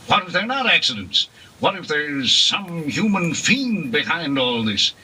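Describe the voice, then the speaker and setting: A man's voice: a little high, sing-song, measured pace, sharp tone, a little hiss or hum, heavily acted. An actor playing a worried middle-aged male city official in a 1940s radio drama, anxious and blustering. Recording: distant old radio recording.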